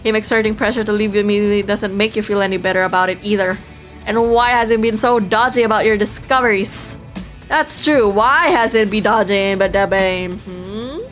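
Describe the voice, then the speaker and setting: Woman, game streamer, slightly high voice, expressive read-aloud, playful exclamations, light music behind. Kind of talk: reading a visual novel aloud with excited live reactions.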